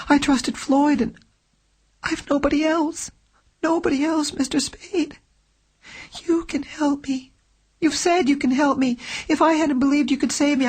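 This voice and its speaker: A woman's voice: high-pitched, voice pushed up, close to an everyday voice. A woman reading an audiobook, voicing a frightened young woman who pleads for help, with a thin, tremulous, faltering voice that slips into whispers. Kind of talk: audiobook character voice.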